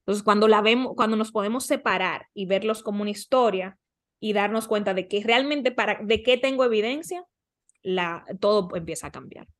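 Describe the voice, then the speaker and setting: A woman coach talks as a guest on a podcast at a medium pitch. She gives advice conversationally, often posing questions and answering them with examples. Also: moderate pace.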